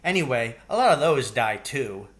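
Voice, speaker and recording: A man's voice: mid-range voice, big pitch swings, moderate pace, natural voice. A man voicing a sympathetic, argumentative older brother in a storybook, reasoning and insistent. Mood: arguing.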